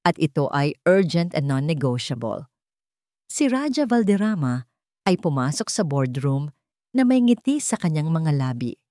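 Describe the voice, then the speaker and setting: A woman narrating a dramatic story in a steady reading voice. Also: low-pitched, a little slow, dry recording, studio quality.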